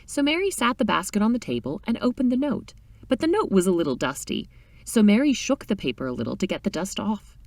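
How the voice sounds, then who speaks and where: Animated woman reading a story aloud. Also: medium pitch, a little fast, no echo, distant mic. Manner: animated, dramatic reading with character voices. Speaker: reader of a short story. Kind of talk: expressive storytelling narration.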